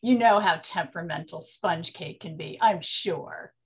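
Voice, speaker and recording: A woman's voice: fairly low pitch, a little slow, lightly acted. An actress playing a bossy, slangy woman bootlegger in a 1920s comedy radio play. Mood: coy.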